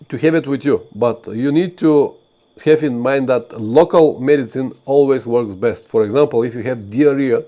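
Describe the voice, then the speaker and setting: A man's voice: medium pitch, mellow. Man giving travel advice. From Bulgaria. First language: Bulgarian. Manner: earnest, advisory, frequent fillers and restarts. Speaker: motorcycle travel channel host. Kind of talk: live stream talk to viewers.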